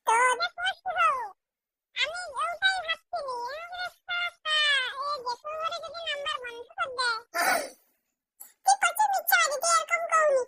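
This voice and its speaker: Shrill, extremely high-pitched woman. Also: moderate pace, pushed and bright. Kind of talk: heated casual family bickering.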